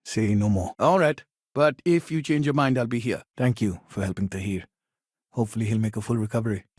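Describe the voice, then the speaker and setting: An actor playing an earnest secular humanist counselor in a sci-fi video game, speaking in a measured, helpful, conversational way. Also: male voice, on the low side, darkish tone, breathy edge, dry recording, studio quality. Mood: calm, earnest.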